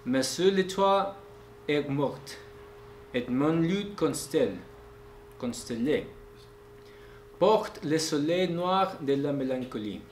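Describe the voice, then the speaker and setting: Man in the audience sight-reads a poem slowly, with a slightly high voice, echoey room, faint hum behind. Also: animated, darkish tone. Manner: slow, halting reading of a French poem. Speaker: audience member reading aloud. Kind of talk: sight-reading a poem aloud.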